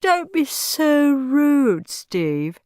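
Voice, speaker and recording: A woman's voice: high voice, big pitch swings, drawn-out words, a little mellow, no echo, clean studio sound, voice pushed up, full character voice. A woman voicing a rich, tired aunt who yawns and mutters in a storybook reading. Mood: weary. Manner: yawning, muttering. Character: rich, weary aunt, middle-aged woman.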